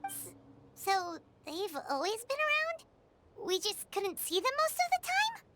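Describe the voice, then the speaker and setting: A woman voicing a tiny, excitable, childlike fairy companion in a video game, squeaky and earnest. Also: slow pace, very noisy, heavily acted. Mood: puzzled, concerned. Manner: exclamatory, earnest. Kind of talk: English video game voice acting. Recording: music behind the voice.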